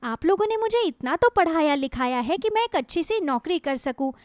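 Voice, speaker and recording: A woman's voice: high-pitched, lively intonation, fairly quick, dry recording, studio quality. A woman voicing a sad, anxious, earnest young woman in a moral story cartoon. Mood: hurt, resolute.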